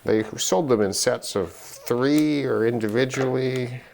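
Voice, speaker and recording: A middle-aged man giving unscripted commentary on an electronics teardown, halting and musing as he thinks aloud. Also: medium pitch, gravelly, a little mellow, a little hiss or hum. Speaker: electronics hobbyist presenter.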